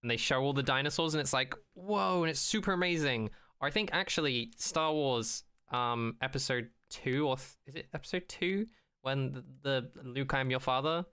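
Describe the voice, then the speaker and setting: Male podcast co-host, crisp and bright, loose rambling banter, informal and jokey. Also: medium pitch, moderate pace. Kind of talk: casual banter.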